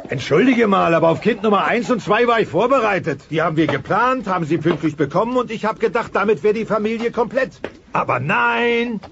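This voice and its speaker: A man's voice: high-pitched, animated, brisk, vocal fry, fairly bright tone, slight background noise, full character voice. A man voicing a grumpy, blustering dinosaur father in a cartoon audio play. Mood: exasperated grumbling. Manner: blustering, exasperated. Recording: dull cassette transfer, busy background.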